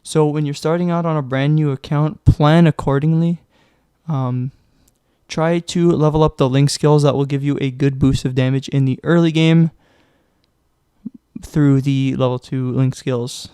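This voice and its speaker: A man's voice: on the high side, steady tone, unhurried, mellow, smooth, no room sound, studio quality. A young man narrating a casual game tutorial, chatty and joking, with loose fillers, a little sick. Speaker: gaming guide creator.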